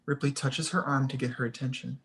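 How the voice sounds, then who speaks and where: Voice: medium pitch, steady tone, a little fast, fairly bright tone. A narrator reading scene headings and stage directions aloud at a screenplay table read.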